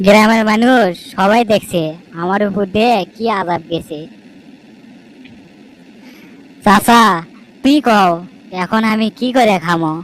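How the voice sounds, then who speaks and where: A woman's voice: medium pitch, lightly acted, low-quality recording. A woman voicing a wronged, proud younger brother in an amateur cartoon, plaintive and declamatory. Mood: aggrieved.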